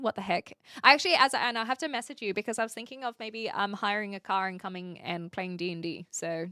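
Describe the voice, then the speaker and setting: A woman's voice: fairly high pitch, a bit bright, dry recording, studio quality. A young woman streamer in her twenties, from Australia, chatting casually and rambling as she reads out viewer comments, often giggling.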